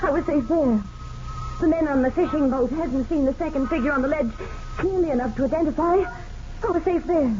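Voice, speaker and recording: An actress playing a desperate, scheming young murderess, narrating intensely in a 1940s radio drama. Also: female voice, high voice, brisk, lightly acted. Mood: cold, haunted. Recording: muffled old radio recording, loud noise.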